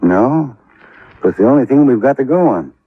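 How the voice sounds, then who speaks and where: An American actor playing an easygoing, laconic police lieutenant in a 1950s radio drama, unhurried and noncommittal, with a husky voice. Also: male voice, mid-range voice, expressive, dry recording. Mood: laconic, matter-of-fact. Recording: dull vintage radio recording.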